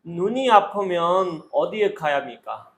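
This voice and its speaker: Slow-paced man giving interview drills. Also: sits high, lively intonation, fairly bright tone, poor audio. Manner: instructional, short commands and set questions. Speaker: Korean exam interviewer and teacher. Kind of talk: question-and-command interview drill.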